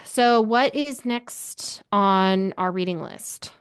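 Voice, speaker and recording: Casual female podcast co-host, medium pitch, book club chat, dry close sound. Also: takes it slowly, smooth, no room sound.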